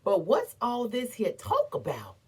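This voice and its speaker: A woman giving a dramatic, theatrical recitation as an impassioned, defiant, weary but proud orator, with emphatic repetition and long pauses. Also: on the high side, wildly swooping pitch, mellow, slightly rough. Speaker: performer reciting a historical speech.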